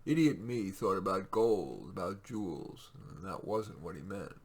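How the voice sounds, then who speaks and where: Male story reader: very low, raspy, measured, expressive, informal author-reading feel. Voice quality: raspy, gravelly. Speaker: writer reading his own short stories. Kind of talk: storytelling read aloud with casual asides.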